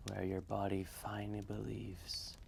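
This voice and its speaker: A man voicing an ancient, gentle, wistful dragon telling a fireside roleplay story in a soft, breathy, measured and gravelly voice. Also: on the low side, completely flat delivery, slight background noise. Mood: soothing, protective. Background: quiet fireplace ambience.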